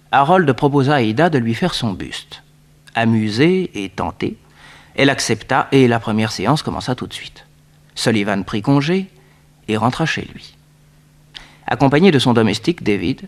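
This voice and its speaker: A man narrating a 1960s French radio serial as a scripted story, in a measured, dramatic way. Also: medium pitch.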